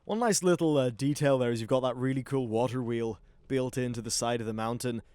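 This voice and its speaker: A man's voice: medium pitch, a little fast, darkish tone, clear, resonant tone, no echo. A man hosting a gaming video, giving casual, informal gameplay commentary with some swearing.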